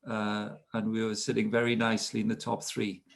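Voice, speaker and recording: Welshman in his fifties, gravelly voice. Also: on the low side, completely flat delivery, a little fast. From South Wales. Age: 50s. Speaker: guest speaker. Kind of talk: relaxed storytelling interview.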